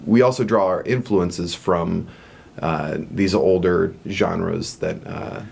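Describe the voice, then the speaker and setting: A young man, the director of a performance troupe, answering radio interview questions earnestly with many pauses and fillers. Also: low voice, vocal fry, a little mellow, breathy edge.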